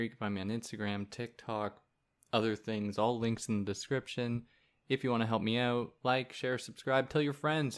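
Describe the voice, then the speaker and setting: A man with a soothing voice, hosting a podcast and explaining a philosophy text in a relaxed, conversational way. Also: mid-range voice. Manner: relaxed, conversational, with fillers and asides. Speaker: podcast host. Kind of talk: casual explanatory philosophy lecture.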